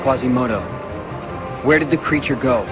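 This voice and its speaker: An actor playing a brave young hero in a video game, speaking with earnest determination. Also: male voice, medium pitch, normal speed, loud music behind the voice, close to an everyday voice. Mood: concerned. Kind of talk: video game cutscene acting.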